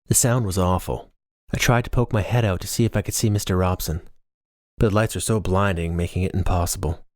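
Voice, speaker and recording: A man's voice: low voice, fairly even intonation, brisk, a little mellow, dry recording, clean studio sound. A man reading a first-person novel as scripted audiobook narration.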